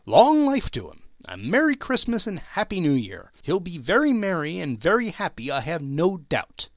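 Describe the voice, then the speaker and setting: A man voicing an indignant, sharp-tongued mother in a raised, scornful voice. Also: wildly swooping pitch, normal speed, no echo, highs cut off. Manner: raised pitch, scornful and loud.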